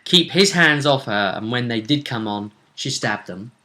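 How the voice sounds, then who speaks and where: Man, bright forceful tone, medium pitch, game master, casual recap, measured storytelling with pauses. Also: lively intonation.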